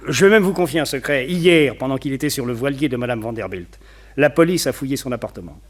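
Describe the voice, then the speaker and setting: An actor playing a well-connected, opinionated society gentleman in a French radio detective play, talkative, confiding and wryly gossipy. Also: medium pitch, expressive, fast, natural voice. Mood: suspicious, confiding.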